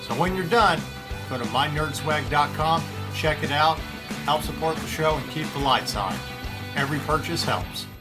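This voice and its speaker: A man hosting an entertainment show, talking casually to his audience in a halting, conversational way, often repeating phrases. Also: on the high side, loud music behind the voice. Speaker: host of an entertainment news show. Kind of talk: casual opinion talk to the audience.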